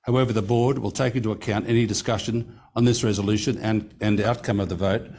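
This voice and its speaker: Low-voiced man chairing a formal meeting. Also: steady tone, a little mellow, a little hiss or hum. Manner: reads figures carefully, pausing to correct himself. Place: meeting room.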